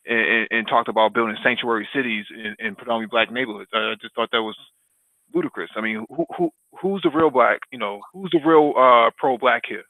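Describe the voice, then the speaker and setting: A man's voice: medium pitch, a little fast, no room sound. A man co-hosting a casual political talk, rambling through many fillers and breaking into crude jokes and swearing. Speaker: podcast co-host. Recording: dry tinny phone-line sound.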